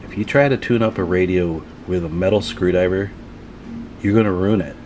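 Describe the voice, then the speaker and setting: Deep-voiced man giving a casual tutorial. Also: moderate pace, background noise. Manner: folksy, informal, talking while working. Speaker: radio repair tutorial host. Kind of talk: casual hands-on tutorial narration.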